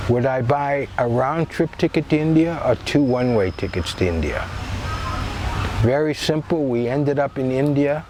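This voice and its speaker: A man's voice: medium pitch, a little mellow, rough recording. Older Boston man, seventies, easygoing storyteller, wry asides, faint noise underneath. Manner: conversational, wry, with many "uh" pauses. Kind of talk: personal storytelling.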